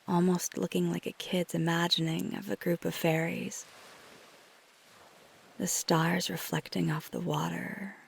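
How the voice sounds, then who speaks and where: A woman playing a flirty, tender vampire in an intimate ASMR roleplay, speaking softly and gently, mostly in a breathy whisper. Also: fairly low pitch, slight background noise, heavily acted. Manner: slow, gentle, hesitant, teasing.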